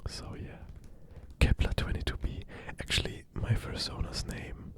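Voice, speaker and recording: Male ASMR creator, German accent, hushed whisper throughout, unhurried gentle delivery with pauses. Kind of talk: ASMR, whispered casual monologue.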